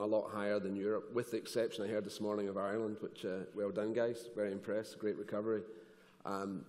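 A man talks casually on a panel through a headset mic. His voice sits on the low side, and a long hall reverb trails his words. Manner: relaxed, joking, conversational. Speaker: insurance executive panelist. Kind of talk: conference panel discussion. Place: large conference hall stage.

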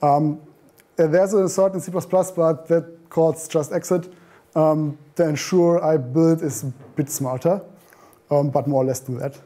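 A man's voice: on the high side, fairly even intonation, measured pace, mellow. A man in his thirties giving an informal meetup talk in a meeting room, full of ums and restarts, recorded by a camera at the back. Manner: informal, frequent ums and self-corrections. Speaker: meetup speaker. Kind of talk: conference talk. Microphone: far camera.

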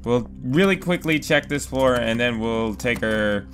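A man's voice: medium pitch, animated, a little slow, fairly bright tone, faint music behind. A young man casually commenting on a horror game he is playing, rambling, swearing often and talking to himself. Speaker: gaming video host. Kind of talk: casual let's play commentary. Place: home room.

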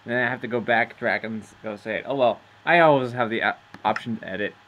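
A man's voice: mid-range voice, quick pace, fairly bright tone. Male gamer narrating, chatty and meandering, mid-range voice, recorded with audible background noise. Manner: rambling, self-amused, loses his train of thought. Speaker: gameplay narrator. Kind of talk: casual game commentary.

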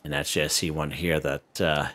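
A man hosting a casual gameplay tutorial, relaxed and step by step, who is losing his voice. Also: rumbling low, fairly quick. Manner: relaxed, walks viewers through step by step. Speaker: gaming channel host.

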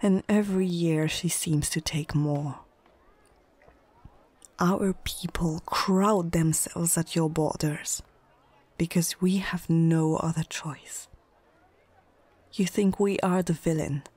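Woman acting as female orc chieftain, husky whisper, very slow delivery, proud, menacing, weary, fantasy ASMR roleplay. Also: lowish voice, fairly even intonation, darkish tone, no room sound. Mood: grave. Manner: slow, deliberate, short measured phrases.

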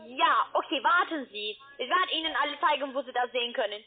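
A woman with a foreign accent speaks as a call centre agent. Her voice is high-pitched. It comes through a narrow, tinny telephone line. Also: animated, a little fast. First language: not German. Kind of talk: phone sales conversation.

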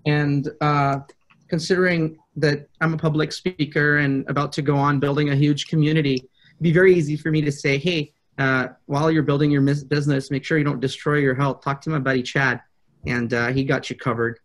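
A man in his thirties talks on a video call from a small office. His voice sits a bit high for a man. He picks his words deliberately and states them bluntly, in a conversational way. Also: little pitch movement. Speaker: prospective coaching client, public speaker. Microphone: video call, computer microphone.

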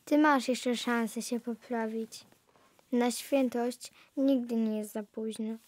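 A female performer playing an encouraging, gently instructive young believer in an amateur radio play. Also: on the high side, steady tone, takes it slowly, dry recording.

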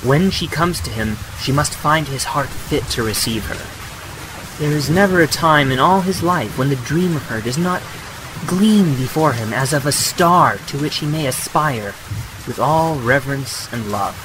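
A man playing an earnest, gallant, courteous young suitor in a period romantic audio drama, gentle and a little hesitant. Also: on the high side, lively intonation, unhurried, noisy background. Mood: earnest, tender. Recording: clear rain and ambience behind. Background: rain.

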